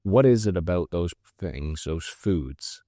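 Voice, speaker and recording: A man's voice: deep voice, expressive, slow, mellow, dry recording, studio quality. A man from Canada, a guest scientist in a casual interview, explaining things with many fillers and restarts.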